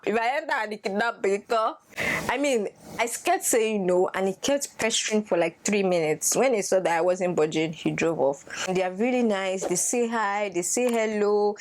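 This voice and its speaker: Nigerian woman telling lively stories. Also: medium pitch. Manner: animated, laughing, switches into Yoruba phrases. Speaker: vlogger. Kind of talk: casual storytelling vlog.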